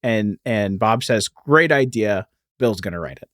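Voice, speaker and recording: A man's voice: lowish voice, animated, measured pace, fairly bright tone, no room sound, clean studio sound. A man co-hosting a podcast, talking casually and explaining things, sometimes reading aloud and correcting himself as he goes. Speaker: podcast co-host.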